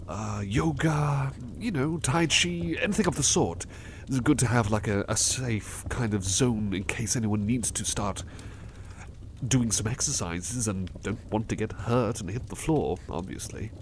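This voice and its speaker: A man's voice: on the low side, a little hiss or hum. A man playing an overworked, affectionate butler in an intimate ASMR roleplay, speaking politely and hesitantly. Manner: hesitant, halting, polite old-fashioned phrasing, affectionate. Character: overworked, devoted, weary butler resting affectionately on partner's lap.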